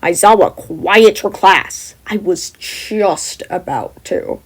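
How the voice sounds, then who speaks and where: Woman acting as exhausted male hero teacher, gruff, irritable, deadpan, blunt, story reading. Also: on the low side, slow pace, heavily acted. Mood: irritated, commanding.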